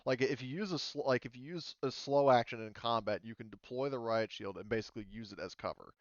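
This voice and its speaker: A man acting as game master, casually explaining a tabletop roleplaying game in a halting way. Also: medium pitch, no echo, lo-fi sound.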